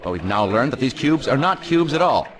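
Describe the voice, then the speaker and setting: An actor playing a self-important cartoon news anchor, delivering a mock broadcast in a pompous, dramatic manner. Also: male voice, mid-range voice, very animated, fairly quick, close to an everyday voice. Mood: solemn, puzzled.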